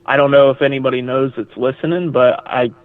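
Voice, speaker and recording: A man's voice: mid-range voice, steady tone, a little fast, dry recording. A man appearing as a podcast guest, chatting casually with a self-deprecating, joking manner. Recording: dry, tinny phone line.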